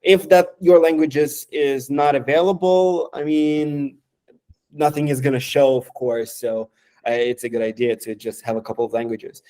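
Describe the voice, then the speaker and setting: Mellow-voiced man demoing software. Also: medium pitch, steady tone, rough recording. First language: Romanian. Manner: halting, lots of fillers and restarts. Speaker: software developer. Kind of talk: casual screen-share product demo.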